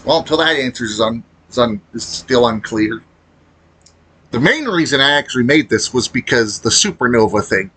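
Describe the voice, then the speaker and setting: A man giving unscripted, casual gaming commentary in a rambling, halting way, often trailing off mid-thought. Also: mid-range voice, lively intonation, a bit bright, husky. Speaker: video creator commenting on a game.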